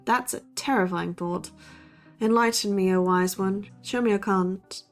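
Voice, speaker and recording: A woman's voice: medium pitch, measured pace, soft background music, natural voice. Dry, sardonic young student podcaster woman acting. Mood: wry. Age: young adult. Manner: deadpan, precise. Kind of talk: bantering podcast-style audio drama.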